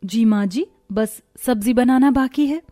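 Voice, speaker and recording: A woman voicing a dutiful, caring young daughter-in-law in a cartoon moral story, respectful and gentle in manner. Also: fairly high pitch, a little slow, soft background music, natural voice.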